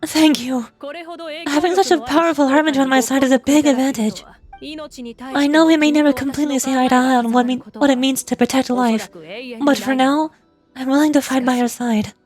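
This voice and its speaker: A resolute, noble leader from a video game, played by an actress. Her voice is high, her delivery earnest, composed and stately, with faint music behind her. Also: fairly even intonation, a little fast, darkish tone, lightly acted. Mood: grateful, firm.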